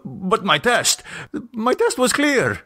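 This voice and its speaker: A man reads as a scared male patient in an audio horror story. His voice jumps up high as he protests and pleads in anxious, startled bursts. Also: wildly swooping pitch, slow, pushed and bright, heavily acted. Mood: panicked. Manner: pleading, startled questions and exclamations.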